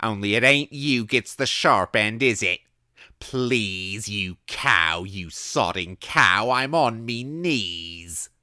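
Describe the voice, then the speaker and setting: Guy putting on a cockney drunk, slow, begging and swearing, loud. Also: lowish voice, animated, no room sound, studio quality, voice pushed up, exaggerated voice. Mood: pleading, angry. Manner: slurred pleading, abusive. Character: sad drunk Cockney vagrant man, begging and cursing.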